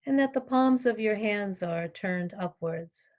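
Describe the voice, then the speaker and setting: A woman leads a guided relaxation meditation as a yoga teacher. She speaks very slowly at a medium pitch. Her instructions come calmly in short phrases, with long pauses left between them.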